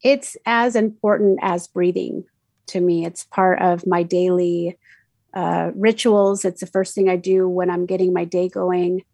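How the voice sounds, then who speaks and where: A woman's voice: on the low side, fairly even intonation, unhurried, gravelly, dark tone, dry recording, studio quality. Chatty woman with a Mexican Spanish accent. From Mexico City. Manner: chatty, many fillers and restarts. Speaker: radio DJ. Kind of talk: casual interview answers.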